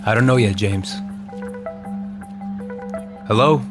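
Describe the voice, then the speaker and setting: A man's voice: fairly low pitch, slow pace. A man playing a hesitant, conscience-torn police chief in an audio drama, speaking in a subdued, reluctant way. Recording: music bed under the voice.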